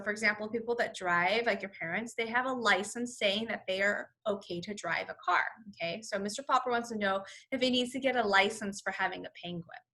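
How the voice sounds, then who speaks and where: A woman teacher reading a children's story aloud, pausing to explain words simply and check understanding. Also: a little high, sharp tone. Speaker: first grade teacher. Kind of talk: children's read-along with word explanations.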